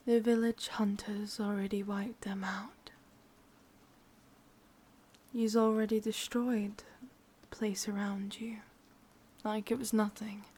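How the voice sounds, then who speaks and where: A woman with an English accent voicing an ancient, lonely, lovesick witch in a breathy, husky whisper for an ASMR roleplay. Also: mid-range voice, darkish tone. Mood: brooding. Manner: slow, brooding, intimate delivery.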